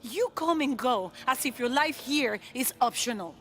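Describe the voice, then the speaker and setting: A captive queen who stays firm and resolute. Her voice is high and steady, and every line holds its ground. She speaks in a game cutscene. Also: moderate pace, slight background noise. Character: defiant imprisoned female queen. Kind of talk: video game cutscene acting.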